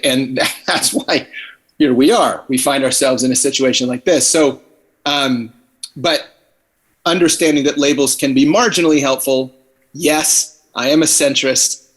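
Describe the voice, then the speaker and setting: Wordy, thoughtful man on a video call. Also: medium pitch. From Philippines. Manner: thoughtful, wordy, restarts often. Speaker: podcast guest. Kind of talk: casual conversation on a video call. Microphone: computer on a video call.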